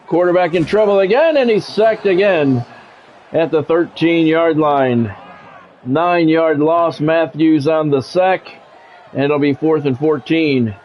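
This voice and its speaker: A man's voice: fairly high pitch, slight background noise. Lo-fi male football play-by-play announcer. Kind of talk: live sports commentary over a football video game. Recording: dull lo-fi audio with music behind.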